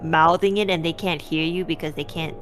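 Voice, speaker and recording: Woman streamer, lower voice, blunt, playful, swears freely. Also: steady tone, a little fast, fairly bright tone, faint music behind. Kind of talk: casual chat.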